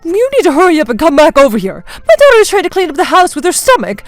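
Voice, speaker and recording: Bossy older mother-in-law played by a woman narrator, high and loud, scolding and demanding, for a family drama story. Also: sing-song, a little fast, no room sound, soft background music. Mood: bossy, complaining. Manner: demanding, accusatory, shouted scolding.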